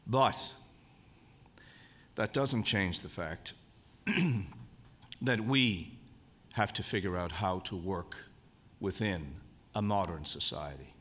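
A man with a very low voice delivers a formal lecture to a convention audience. He speaks in a measured, deliberate way and leans on key words for emphasis. His voice rings with the long reverb of a large hall. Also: fairly bright tone, husky.

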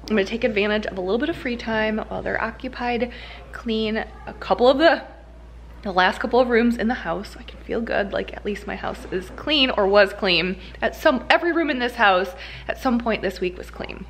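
A woman's voice: fairly high pitch, normal speed, a little hiss or hum. A woman in her thirties chatting casually as a home vlogger, in a rambling, conversational way. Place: indoors at home.

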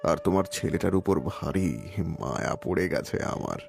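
A man playing a lonely, kindly but secretive elderly villager in an audio drama, speaking haltingly and repeating his words. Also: low voice, creaky, exaggerated voice. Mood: wistful, coaxing. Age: older. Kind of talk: Bengali audio story acting.

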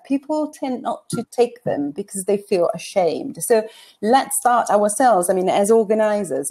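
A middle-aged woman with an Italian accent talks through a headset on a video call. She is earnest and reflective, often repeats words as she searches for the right phrasing, and has a mid-range pitch. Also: darkish tone. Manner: earnest, thoughtful, many restarts. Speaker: conference speaker. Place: small bright room indoors.

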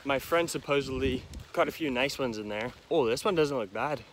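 A man's voice: mid-range voice, moderate pace, a little hiss or hum. A young man fishing at a pond, talking to himself in casual, sarcastic running commentary on a head-mounted camera. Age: young adult. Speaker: fishing vlogger. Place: outdoors by ponds in tall grass. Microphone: head-mounted action camera.

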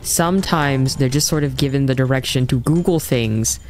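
Measured man explaining calmly. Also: mid-range voice, faint music behind. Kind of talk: casual explanatory talk.

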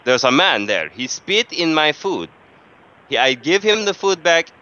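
Man putting on a bright, indignant foreign customer. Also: fairly high pitch, very animated, lo-fi sound, full character voice. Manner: repetitive, halting, melodramatic indignation. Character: aggrieved, rambling foreign male customer lodging a complaint. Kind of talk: prank phone call.

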